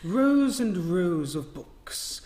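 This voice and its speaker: A man's voice: high voice, very animated, very slow, warm tone, heavily acted. A man playing an eager, ruthless young book collector in a live radio play, blunt and insistent. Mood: admiring. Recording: echoey hall, long reverb.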